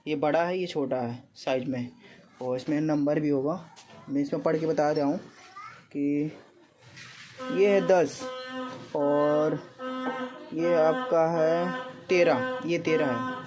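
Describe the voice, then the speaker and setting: A man's voice: on the high side, takes it slowly, long pauses. A man with an eastern Uttar Pradesh accent talking casually to viewers in a vlog, explaining things repetitively with frequent check-in tags. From Gorakhpur, India. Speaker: vlogger. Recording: clearly noisy amateur vlog audio with ambience.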